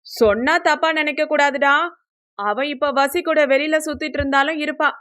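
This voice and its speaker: A woman's voice: high voice, steady tone, normal speed, fairly bright tone, pitched above the performer's own voice. Woman as blunt, needling young buddy, raised voice.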